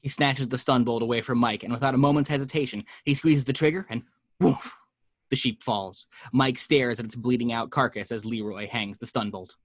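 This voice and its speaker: A man narrating the action lines of a screenplay at home, with vivid, measured delivery. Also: mid-range voice, fairly even intonation, no echo, clean studio sound. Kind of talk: screenplay reading.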